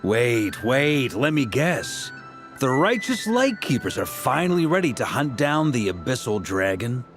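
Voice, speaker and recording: A breezy, lively-voiced knight commander. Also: male voice, medium pitch, measured pace. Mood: teasing. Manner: breezy, colloquial. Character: easygoing, confident male grand master knight, jovial leader. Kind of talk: video game dialogue. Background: music.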